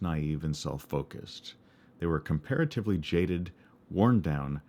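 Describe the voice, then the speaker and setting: Deep-voiced man with a wry story. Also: darkish tone. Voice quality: baritone voice. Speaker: podcast host. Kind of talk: scripted memoir narration.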